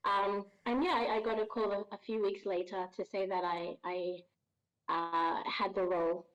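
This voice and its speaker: A woman's voice: medium pitch, flat delivery, moderate pace. A woman from Ghana, a voice actor guest on a podcast, talking in a friendly, thoughtful way and laughing easily. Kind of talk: casual podcast interview. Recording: dull lo-fi audio.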